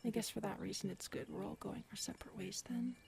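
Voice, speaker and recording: A woman playing a big, earnest predatory alien woman in an intimate audio roleplay, soft and hesitant, often murmuring. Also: medium pitch, steady tone, quick pace, gravelly, a little hiss or hum. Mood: tender, uncertain. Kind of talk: audio roleplay acting, addressed to listener.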